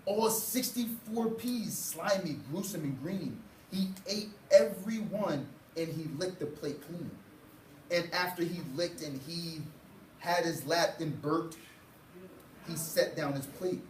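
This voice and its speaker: A young man reading a children's story aloud to a class with expressive, dramatic phrasing, as a student teacher. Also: a little high, slow pace. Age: young adult. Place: indoor school classroom. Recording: roomy, distant mic, faint noise.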